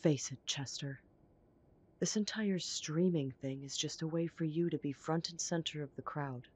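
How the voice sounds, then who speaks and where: A woman acts out a scornful young werewolf heiress. Her voice is husky and breathy. She talks primly and cuttingly, in audio roleplay style. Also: sits low, normal speed. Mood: scornful, accusing. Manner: prim, disdainful, cutting. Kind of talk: audio roleplay drama acting.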